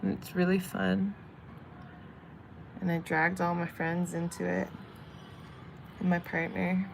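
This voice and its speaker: A woman's voice: fairly low pitch, little pitch movement, takes it slowly, vocal fry, low-quality recording. A young woman from San Diego, chatting casually with livestream viewers while she reads tarot and does her makeup. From San Diego, California. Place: home in Vietnam. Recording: faint background noise.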